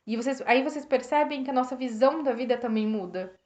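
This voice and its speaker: High-voiced Brazilian woman, slightly hoarse. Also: fast talker, a little mellow. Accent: Brazilian Portuguese. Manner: rambling with many restarts, thanks viewers by name. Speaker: host reading and reflecting on a self-help book. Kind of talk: casual live-stream reflection. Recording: roomy, dull lo-fi live stream.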